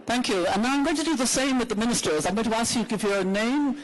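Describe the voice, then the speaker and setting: An older woman, a grandmother, moderating a conference panel in an earnest, measured way with frequent pauses. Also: on the high side, poor audio.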